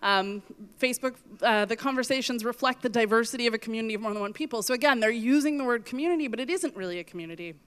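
A woman giving a talk on stage with slides, earnest and measured, her voice going from coughing. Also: on the high side, fairly bright tone, smooth. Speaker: conference speaker. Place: stage in a hall.

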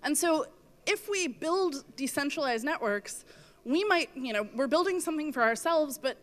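A woman giving a talk on stage with slides, earnest and measured, her voice going from coughing. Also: sits high, expressive. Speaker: conference speaker. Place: stage in a hall.

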